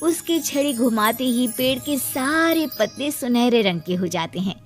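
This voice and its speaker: A woman's voice: a little high, animated, measured pace. A woman reading scripted storybook narration for a cartoon moral tale. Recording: music behind the voice.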